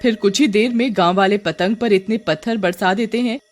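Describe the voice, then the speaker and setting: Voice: medium pitch, brisk. A narrator telling a scripted horror story in the third person. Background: music.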